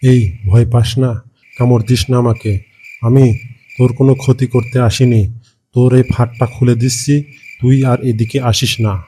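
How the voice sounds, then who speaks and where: A man's voice: medium pitch, little pitch movement, normal speed, noisy background, close to an everyday voice. A man voicing a kind, lonely village farmer in a cartoon, musing in plain rustic phrasing. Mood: soothing, cautioning. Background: background music.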